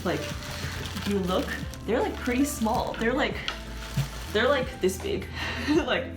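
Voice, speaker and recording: A woman's voice: a little high, animated, takes it slowly. A young woman chatting casually in a food haul vlog, rambling and filling her talk with "like". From San Francisco Bay Area. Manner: chatty and rambling, constant "like" fillers. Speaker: vlogger. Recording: roomy sound with background music.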